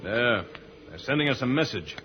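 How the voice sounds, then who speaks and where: A man's voice: mid-range voice, expressive, normal speed, natural voice. A man playing a heroic, decisive space pilot, earnest and commanding, in an old-time radio serial. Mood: grave. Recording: muffled, very noisy vintage radio recording.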